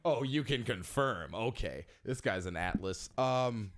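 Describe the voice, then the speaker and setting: A man's voice: on the low side, animated, measured pace. A man hosting a podcast, chatting casually with a guest, joking and laughing often. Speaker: podcast host. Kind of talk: casual interview chat. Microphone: close studio mic.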